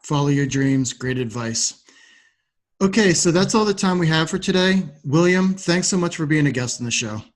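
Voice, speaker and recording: Higher-voiced male podcast host, courteous. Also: lively intonation, moderate pace. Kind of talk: interview hosting with scripted sign-off.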